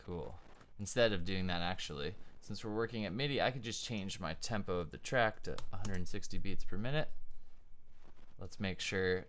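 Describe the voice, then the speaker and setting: A young man giving a relaxed, casual music production tutorial, talking with plenty of ums and uhs as he explains his steps. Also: low-pitched, normal speed, a little hiss or hum. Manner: relaxed, frequent ums and uhs, thinking aloud. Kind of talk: casual screen-share tutorial.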